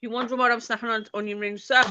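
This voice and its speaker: High, bright-voiced Liverpool woman. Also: flat delivery, rapid-fire, lo-fi sound, slight background noise. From Liverpool, England. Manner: informal, sweary, talks directly to viewers by name. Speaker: video channel host. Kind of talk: casual livestream chat with viewers.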